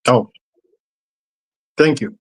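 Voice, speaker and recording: A man hosting a panel interview, asking long, rambling questions with many hesitations and restarts. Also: very slow. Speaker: interview host.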